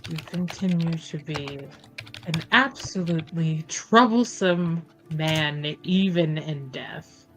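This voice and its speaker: A woman playing a tabletop roleplaying game, speaking in character deliberately, with pauses between her phrases. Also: low voice, fairly bright tone. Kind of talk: in-character roleplay, measured delivery.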